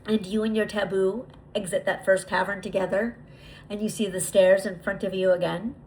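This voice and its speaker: A woman leading a guided visualization, speaking to the listener in a deliberate, repetitive way with lingering pauses. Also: medium pitch, steady tone, darkish tone, a little hiss or hum, poor audio. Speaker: guided meditation leader.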